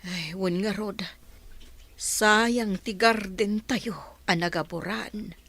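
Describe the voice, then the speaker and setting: A woman acting a slow, husky old wife. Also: medium pitch, a bit bright. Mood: wistful worry. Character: wistful, worried old village wife. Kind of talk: radio drama acting.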